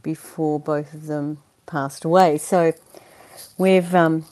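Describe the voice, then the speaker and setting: Low, mellow-voiced woman. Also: steady tone, takes it slowly, no echo. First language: Dutch. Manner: chatty and earnest, laughs easily, many fillers. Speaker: interview guest, performer. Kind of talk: conversational interview.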